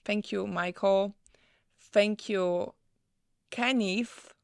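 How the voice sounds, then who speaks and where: A woman with a Polish accent hosting a live online event, warm, with soft laughs and fillers. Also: mid-range voice, steady tone, very slow, creaky, smooth, no echo, studio quality. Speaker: host.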